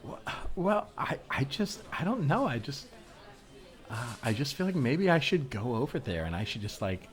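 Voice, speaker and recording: A man's voice: medium pitch, sing-song, moderate pace, lightly acted. A man playing a needy, over-eager young woman in improvised comedy, talking in a hesitant, rambling, awkward way. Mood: awkwardly eager.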